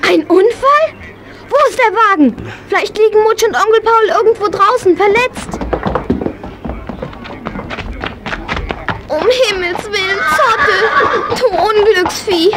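High-voiced, spirited young girl. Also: a little slow, background noise. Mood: alarmed. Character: spirited horse-loving young girl, eager and excitable. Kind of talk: German children's audio drama acting.

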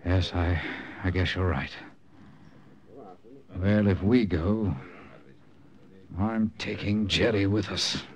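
Deep-voiced uneasy corporal. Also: male voice, slow pace, pauses often. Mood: confiding. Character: uneasy American corporal, loyal radio-operator sidekick. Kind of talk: old-time radio drama acting. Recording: vintage radio drama with music.

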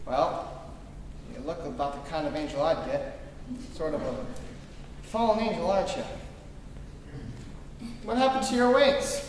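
A man's voice: on the high side, expressive, a little slow, pauses often. A young man on stage playing a troubled, despairing husband and father in a live radio play. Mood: despairing, bitter. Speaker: stage actor. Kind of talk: live 1940s-style radio play acting. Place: theater stage in a large auditorium. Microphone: distant camera. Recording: echoey hall from far off, noisy.

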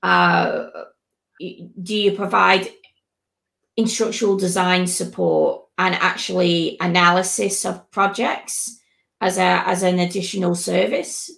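A woman's voice: lowish voice, steady tone, unhurried, vocal fry, a bit bright. A woman hosting a chat on a video call with a headset, talking in a chatty, conversational way. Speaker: host. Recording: echoey room audio.

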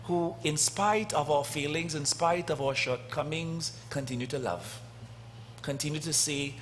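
A middle-aged priest preaching a sermon in a church, speaking deliberately with long pauses, swelling loud and then dropping soft, into a lectern microphone. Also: male voice, fairly high pitch, slight background noise. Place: church sanctuary, indoors. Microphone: lectern gooseneck mic.

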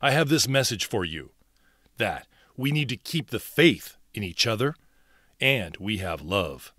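A man voicing a valiant, devout vampire hunter from a video game, speaking in an earnest, measured, theatrical way. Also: lowish voice, very animated, pushed and bright, no echo, clean studio sound, full character voice. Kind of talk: dramatic video game character acting.

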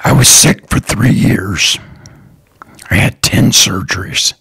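A man hosting his own podcast, talking slowly and earnestly in a raspy, partly whispered voice. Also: fairly low pitch, sharp tone, no room sound, studio quality. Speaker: podcast host, lawn care business owner. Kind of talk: slow, earnest solo podcast talk.

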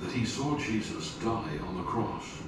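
A man narrating a documentary in a measured, scripted way, posing rhetorical questions. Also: fairly low pitch, expressive. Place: documentary audio played in a garage gym. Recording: echoey, distant playback with loud noise.

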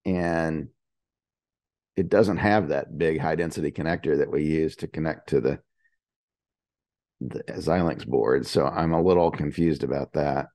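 A man with a very deep, dark voice asks puzzled questions on a video call, pausing on fillers as he thinks. The recording is dry and close. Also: dry recording. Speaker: engineering team member asking questions. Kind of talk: technical meeting discussion.

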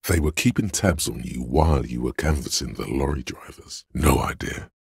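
An extremely low, husky voice of pure logic speaks inside the player's head in a video game. It is gravelly and very dryly recorded, with no room around it. The delivery is deliberate, analytical and condescending. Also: male voice, animated, no echo, exaggerated voice. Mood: measured, analytical. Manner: measured, deliberate, dry, superior. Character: inner voice of cold logic, a man's analytical, condescending mind.

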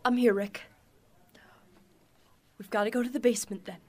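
A woman's voice: on the high side, fairly even intonation, moderate pace, darkish tone, close to an everyday voice. An actress playing a plucky, determined woman in danger in a radio drama. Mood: urgent.

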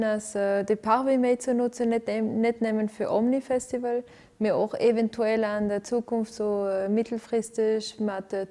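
A woman's voice: fairly high pitch, dark tone. A woman, answering interview questions in a living room with many fillers and restarts. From Venezuela. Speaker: interviewee, arts director. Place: living room with lights set up for filming.

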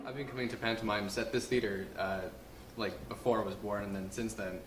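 A man's voice: lowish voice, steady tone, fairly quick, a crowd in the background. A man who performs on stage, talking casually in a group interview. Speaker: stage cast member. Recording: distant mic, echoey noisy room.

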